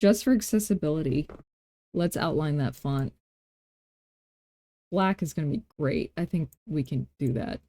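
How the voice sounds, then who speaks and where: A woman hosting a software tutorial in a casual, playful way, thinking aloud and tossing in self-deprecating asides as she works. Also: low voice, unhurried, long pauses, no echo, clean studio sound. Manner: informal thinking aloud while working. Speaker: tutorial host. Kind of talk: casual screen-recorded software tutorial narration.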